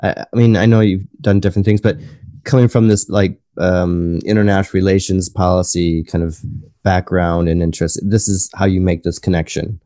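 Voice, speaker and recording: A man, an academic researcher, talking in an animated, enthusiastic way in casual conversation. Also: low-pitched, fairly quick, no echo, studio quality.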